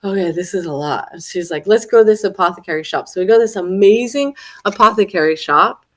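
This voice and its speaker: A young woman in casual conversation, animated and laughing as she acts out quoted voices, a little stuffy from a head cold. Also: medium pitch, a little fast. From Florida. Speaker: retreat attendee.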